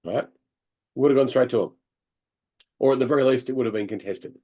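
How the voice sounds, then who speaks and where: A man coaching amateur hockey players over a call, talking through game footage in an animated way and laughing often. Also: medium pitch, brisk, lo-fi sound. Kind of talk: video review of game footage. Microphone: video call.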